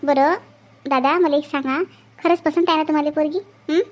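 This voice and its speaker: A woman's voice: high-pitched, fairly even intonation, a little fast, lightly acted. A woman voicing a chatty, earnest young sister-in-law in an amateur cartoon family drama, with a Vidarbha Marathi accent. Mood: teasing. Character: gentle young sister-in-law, teasing but easily wronged.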